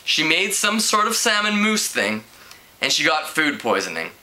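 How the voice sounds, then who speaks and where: A man reading a teen novel aloud in an animated way, now and then stumbling over words. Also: fairly high pitch, moderate pace. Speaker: amateur book reader.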